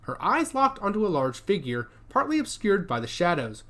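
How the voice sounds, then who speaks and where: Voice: mid-range voice, big pitch swings, moderate pace, fairly bright tone. Audiobook narrator for dystopian fiction, hugely varied intonation, scripted and dramatic delivery.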